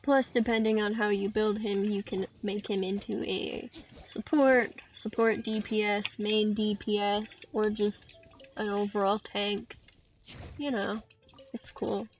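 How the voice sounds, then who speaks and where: A woman giving casual solo commentary on a game stream in a playful, dryly self-deprecating, halting way. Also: mid-range voice, flat delivery. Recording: dull lo-fi mic with faint noise and clicks.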